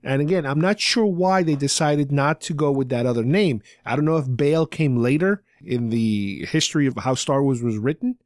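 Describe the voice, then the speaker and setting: A man's voice: mid-range voice, normal speed, hardly any pauses, darkish tone, no room sound, studio quality. A man hosting a podcast, casually recapping a story in an explanatory, rambling way. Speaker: podcast host. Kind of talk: casual talk recapping a radio drama.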